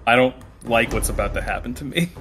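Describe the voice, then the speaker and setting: A man's voice: mid-range voice, expressive, fast talker, a bit bright. A man playing a tabletop game in casual banter, comically dramatic, swearing and often laughing. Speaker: player. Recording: music playing behind the voice. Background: music.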